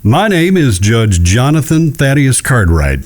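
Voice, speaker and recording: A weary, irritable frontier judge, played by a man in a Western audio drama. He drawls deliberately, with a lively melody and dry condescension. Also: mid-range voice. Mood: dry, courteous. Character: weary, irritable, sardonic Old West circuit judge.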